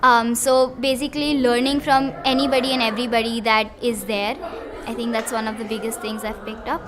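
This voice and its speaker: A young female student speaks in a slightly high, quite even voice. She answers as in an interview, with faint ambient noise around her. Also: brisk. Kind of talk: interview testimonial.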